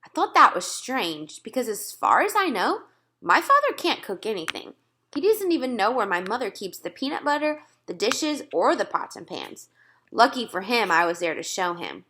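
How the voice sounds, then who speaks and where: Bright, animated woman reading a story. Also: on the high side, normal speed. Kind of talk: children's book read-aloud narration.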